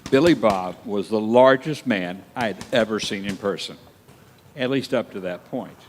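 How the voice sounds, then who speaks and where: A man's voice: lowish voice, moderate pace, a little hiss or hum. A man narrating his own story in a wry, reflective way. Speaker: narrator looking back. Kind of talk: scripted narration. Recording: long hall reverb.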